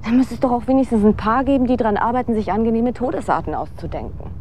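Actress doing cynical young woman in a radio drama, deadpan and bitter, traffic noise all round. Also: on the high side, quick pace, natural voice. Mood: weary, morbid. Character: bitter, sardonic young aspiring film actress, world-weary drifter. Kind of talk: German radio drama acting. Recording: clear outdoor street and traffic noise. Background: city street ambience with vehicles.

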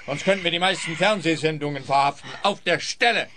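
A man's voice: fairly high pitch, brisk, pushed and bright, a little hiss or hum. An actor playing a grumpy, officious policeman in a comic radio play, delivering absurd lines with pedantic formality. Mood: officious, stern.

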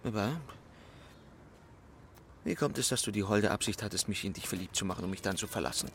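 A man's voice: on the low side, a little fast, slight background noise, close to an everyday voice. A man acting a brooding, needling swindler in love, with an intense, probing manner, in a German radio play.

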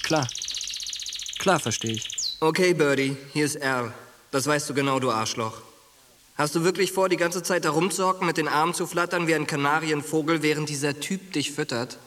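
A man's voice: fairly high pitch, moderate pace, a bit bright, natural voice. An actor playing a brash, coarse young soldier who goads his friend, in a radio drama. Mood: teasing, casual. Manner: coarse, goading, slangy.

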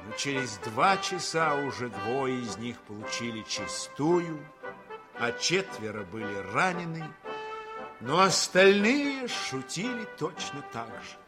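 Мужчина торжественно и размеренно читает литературный текст как рассказчик радиоспектакля, с декламационной подачей. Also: male voice, mid-range voice, big pitch swings. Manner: measured, declamatory. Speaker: narrator. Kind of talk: solemn literary radio narration. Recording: orchestral brass music behind.

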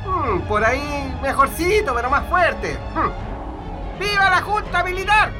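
An actor playing a sadistic, mocking Chilean military corporal in a radio drama, taunting prisoners and barking orders. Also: male voice, very high voice, big pitch swings, measured pace, heavily acted. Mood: mocking, commanding. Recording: music bed under the voice.

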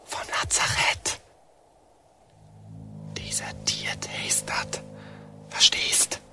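An actor playing a desperate, hunted young deserter in a radio drama, with a North German accent and terse, urgent commands. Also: male voice, drawn-out words, sharp tone, whispered, soft background music, full character voice. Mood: hushed, urgent. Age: young adult. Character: desperate young army deserter, older brother, threatening and hunted.

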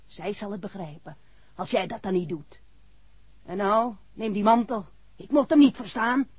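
An actress playing a stubborn, defiant mother in a 1960s Dutch radio drama, with a husky voice. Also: mid-range voice, normal speed, a little mellow, dry recording, natural voice. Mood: insistent, curt. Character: stubborn, defiant working mother, refusing a shady errand. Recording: dull 1960s radio recording.

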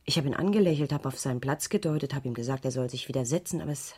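An actress playing a troubled, evasive schoolteacher in a radio crime drama, hesitant and subdued, with a breathy voice that drops to near whispers. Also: female voice, a bass voice, racing, a little mellow. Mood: troubled recollection. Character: troubled, evasive female schoolteacher under police questioning. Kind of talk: 1980s German radio crime drama acting.

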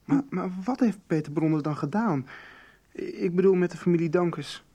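An actor playing an earnest, evasive teenage schoolboy, hesitant and informal, in a Dutch radio soap. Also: male voice, high voice, moderate pace, mellow, breathy edge. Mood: curious.

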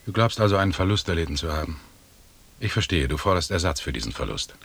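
An actor playing a calm, imperious British expedition leader in a German radio drama, speaking in a measured, formal way with authority. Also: male voice, sits low, vocal fry, husky, no echo, exaggerated voice. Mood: calm, stern.

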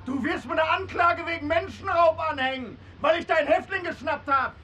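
High-pitched, volatile young gangster. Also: male voice, normal speed, pushed and bright, dull audio. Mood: aggressive, taunting. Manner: taunting, aggressive, barking orders. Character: young gangster hostage-taker, cocky, volatile, threatening. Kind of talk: crime radio drama acting.

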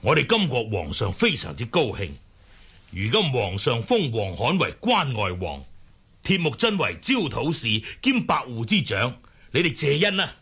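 A man playing a pompous imperial envoy in a Cantonese audio drama, declaiming in a raspy, condescending way. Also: medium pitch, huge pitch swings, unhurried, a bit bright, heavily acted. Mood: haughty, proclaiming. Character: pompous imperial Jin envoy bestowing titles.

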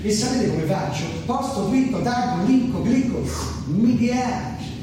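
A manic hermit drunkard who lives online, his voice pushed high. He boasts in emphatic, repetitive lists as a satirical stage character, heard from afar in a cavernous echo. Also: expressive, a little slow. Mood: manic, boastful. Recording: distant, noisy, very echoey hall recording.

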